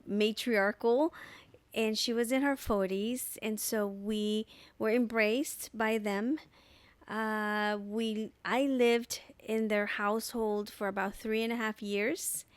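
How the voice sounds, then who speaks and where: A woman in her thirties from El Salvador, a podcast guest recalling her childhood in an unhurried, thoughtful way, with many fillers, recorded on a boom mic in a living room. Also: smooth, no room sound. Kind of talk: casual interview storytelling. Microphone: desk mic on boom arm.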